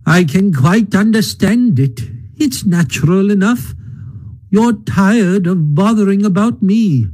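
A man reading a storybook aloud and voicing a feeble, self-pitying male toad who talks in a faint, drawn-out, plaintive way. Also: high voice, lively intonation, darkish tone, voice pushed up, heavily acted. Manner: faint, drawn-out, mock-dying plaintive delivery.

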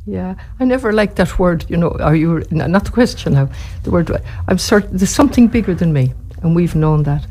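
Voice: mid-range voice, animated, brisk, hardly any pauses, a little mellow. Earnest Irish-accented interview guest. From Ireland. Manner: passionate, thoughtful, frequent restarts. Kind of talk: earnest conversational interview answers.

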